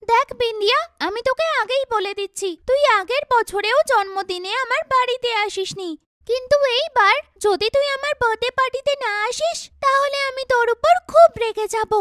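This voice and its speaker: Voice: very high-pitched, normal speed, pushed and bright, no room sound, clean studio sound. A performer voicing an earnest, chatty young schoolgirl in a children's cartoon, with exaggerated, emphatic childlike delivery. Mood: insistent.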